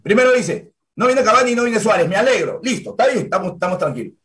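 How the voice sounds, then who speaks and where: A man's voice: sits high, very animated, quick pace, a bit bright. A man in his forties hosting a sports show from a home room over a video call, arguing firmly and insisting on his turn to speak. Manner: firm, argumentative, claims the floor. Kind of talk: heated sports debate. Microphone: video call webcam.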